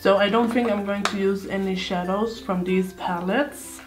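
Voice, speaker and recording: A young woman with a slightly hoarse voice, chatting casually through a makeup tutorial at home. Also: medium pitch, fairly even intonation, moderate pace, music in the background. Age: young adult. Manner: chatty, thinking aloud while applying makeup. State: slightly hoarse, sore throat. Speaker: beauty vlogger. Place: indoor room at home. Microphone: close camera facing her.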